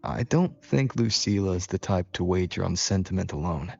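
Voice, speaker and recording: An actor playing a calm, thoughtful young hero in a video game, speaking in a measured, low-key, gravelly voice. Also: male voice, exaggerated voice. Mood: composed, earnest. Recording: dull lo-fi game audio, faint music.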